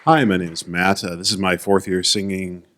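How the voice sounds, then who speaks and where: A man from North Dakota, a baritone in a vocal ensemble, briskly introducing himself. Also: steady tone, fairly bright tone, a little hiss or hum. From Fargo, North Dakota. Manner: brisk, with a slip and self-correction. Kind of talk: self-introduction.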